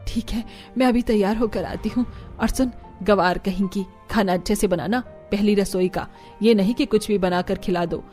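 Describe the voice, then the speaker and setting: Cartoon role: proud middle-aged mother-in-law, played by a woman, mellow mid-pitched voice, commanding and scolding, music underneath. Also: moderate pace, natural voice. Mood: bossy, proud. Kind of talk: Hindi cartoon family drama acting.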